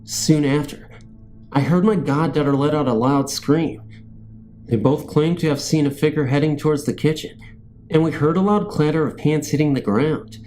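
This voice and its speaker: A man's voice: fairly high pitch, mellow. A man reading scary stories as a narrator, in a scripted storytelling style.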